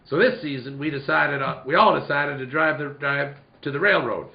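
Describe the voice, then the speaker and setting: A man playing a cantankerous old rancher father in a radio western, with a gravelly voice and grumbling rustic cowboy talk. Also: fairly high pitch, normal speed. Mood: grateful, earnest. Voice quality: gravelly, creaky. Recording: roomy, dull, noisy recording.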